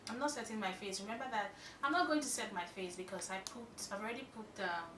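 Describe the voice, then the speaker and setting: Nigerian woman vlogging, lively storytelling, bit of faint noise in the back. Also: medium pitch, quick pace. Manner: animated, exclaiming, chatty storytelling. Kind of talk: storytime while doing makeup.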